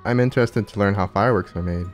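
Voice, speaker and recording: A man's voice: lowish voice, fast. A man making casual, joking commentary over a video game he is playing. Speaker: game streamer. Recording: faint game music behind.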